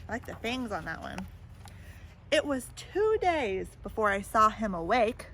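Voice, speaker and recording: A woman reading a children's picture book aloud in an animated way, pausing to put questions and little comments to young listeners. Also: a little high, a little hiss or hum, low-quality recording. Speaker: storybook reader.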